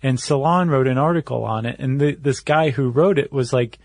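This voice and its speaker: A man with a mid-pitched voice talks as a podcast co-host. He explains casually and methodically, laying out his reasoning piece by piece. The sound is close and dry. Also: moderate pace, a little mellow, no room sound.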